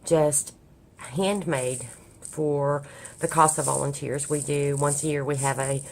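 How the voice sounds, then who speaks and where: Very deep-voiced raspy woman crafter. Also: slight background noise. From Kentucky. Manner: rambling, many ums. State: hoarse from a cold. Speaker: craft vlogger. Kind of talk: casual crafting vlog. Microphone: overhead camera on a craft table.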